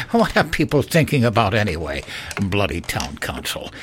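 A man's voice: on the low side, huge pitch swings, moderate pace, a little hiss or hum. An actor playing a grumbling but hospitable older English father in an audio drama, speaking emphatically.

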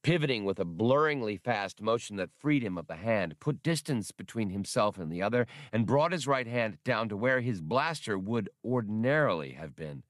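A man's voice: lowish voice, lively intonation, moderate pace, few pauses, dry recording, studio quality. A man reading a scripted work of fiction as an audiobook narrator.

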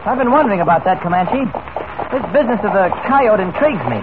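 Quick, high-voiced radio newspaper reporter. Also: male voice, animated. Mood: curious. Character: mild, inquisitive, level-headed newspaper reporter. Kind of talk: 1940s radio acting. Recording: very noisy, tinny 1940s radio recording.

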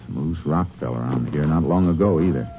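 An actor playing a stern, shrewd Texas Ranger in an American radio drama, speaking in terse, authoritative phrases. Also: male voice, very deep voice, fairly quick, vocal fry, background noise. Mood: focused, watchful. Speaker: lead actor and narrator. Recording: muffled early-1950s radio recording with music.

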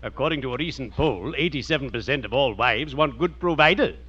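Bright-voiced, morbidly genteel undertaker. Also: male voice, mid-range voice, animated, slightly rough. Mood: dry, matter-of-fact. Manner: formal, solemn, drawn-out delivery with macabre puns. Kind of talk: 1940s radio comedy acting. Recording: dull, noisy old radio recording.

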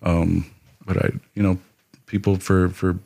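A man who is a podcast guest, talking in a hesitant, halting way in casual chat in a studio. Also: sits low, little pitch movement, vocal fry, warm tone. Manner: hesitant, halting, lots of restarts.

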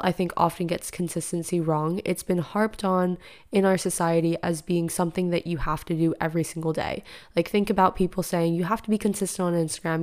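Chatty young woman, raspy just-woken voice. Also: on the low side, warm tone. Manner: chatty, rambling, filler-heavy. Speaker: podcast host. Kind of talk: casual solo podcast monologue. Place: living room.

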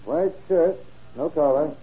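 An actor in an old-time radio crime drama playing a folksy, methodical middle-aged county sheriff, speaking plainly and deliberately. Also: male voice, fairly high pitch, breathy edge. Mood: brisk, commanding. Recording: muffled vintage radio drama recording.

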